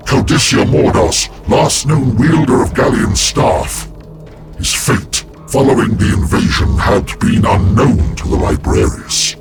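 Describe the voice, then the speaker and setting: Man as a grave, gravelly brooding warrior-psyker. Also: mid-range voice, a little slow, loud music behind the voice, close to an everyday voice. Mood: grim, brooding. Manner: solemn, portentous. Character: brooding veteran space marine psyker, grim and weary. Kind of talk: dramatic scripted game narration.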